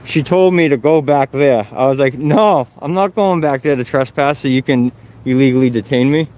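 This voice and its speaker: A man's voice: medium pitch, normal speed, a little mellow. A man with a Boston accent, speaking through a face mask, talking politely and upbeat to people and to his viewers. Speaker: video creator filming police encounters. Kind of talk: casual vlog commentary.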